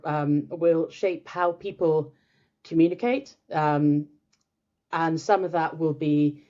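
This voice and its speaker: A woman's voice: fairly low pitch, a little mellow. A woman from Finland, a native Finnish speaker, talking thoughtfully as a guest expert in a casual interview, with many restarts and fillers. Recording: dull lo-fi sound.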